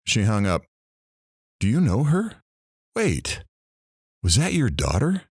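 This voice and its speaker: Guy playing sarcastic radio dj, lowish voice that swings all over, deadpan jokes, audio drama. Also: slow pace, husky, dry recording, exaggerated voice. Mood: wry, brisk. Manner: dry, sarcastic, theatrical delivery. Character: sardonic, deadpan male radio morning DJ. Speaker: radio talk show host.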